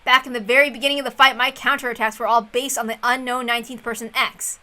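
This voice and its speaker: A woman voicing a brooding, calculating male sorcerer in a visual novel, speaking in a serious, deliberate, dramatic way. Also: fairly high pitch, level tone, bright, forceful tone.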